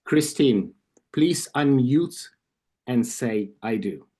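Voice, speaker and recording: A man with a Ukrainian accent reads a club ceremony script over a video call. He goes at an unhurried pace, stopping for asides and small corrections along the way. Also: mid-range voice, expressive, warm tone, husky. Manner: slow, careful reading with asides and self-corrections. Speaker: club vice president of membership. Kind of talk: reading an induction ceremony script at an online club meeting.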